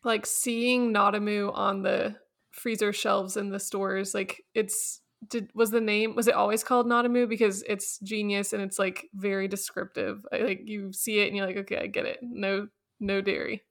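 A woman's voice: mid-range voice, level tone, moderate pace, gravelly. A woman hosting a podcast, chatty and casual, filling her talk with "like" and laughing easily. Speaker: podcast host, company founder. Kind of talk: casual chat.

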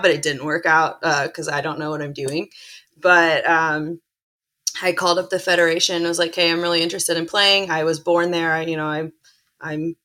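A young woman, a professional soccer player, chatting casually about her background in an interview, telling stories in a relaxed way. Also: low voice. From born in Chile, grew up in Illinois and Indiana. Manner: chatty, relaxed storytelling with frequent restarts.

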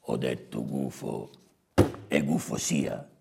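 A man voicing a gruff, domineering male hornet boss in a storybook fable, with a growling, emphatic delivery. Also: fairly even intonation, slow, dark tone, a little hiss or hum, exaggerated voice. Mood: angry, commanding. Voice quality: very deep growl. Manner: barks curt orders, emphatic.

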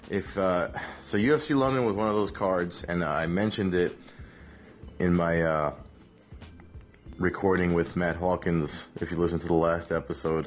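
A man, hungover, hosting a casual solo podcast recap in a relaxed, rambling way with many fillers. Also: sits low. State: hungover, rough voice. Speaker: podcast host, MMA analyst.

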